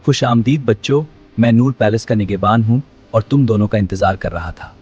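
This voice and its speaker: A man's voice: fairly low pitch, a little mellow, husky. Man voicing a solemn wise owl guardian, slightly muffled. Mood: solemn, welcoming. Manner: measured, instructive. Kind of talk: children's moral cartoon story acting. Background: music.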